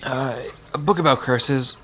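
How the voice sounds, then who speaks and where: A man's voice: medium pitch, fairly even intonation, measured pace, a bit bright, a little hiss or hum, close to an everyday voice. A man playing a laid-back, bookish park resident in an audio drama, casually explaining myths with a dry manner. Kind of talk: conversational audio drama storytelling.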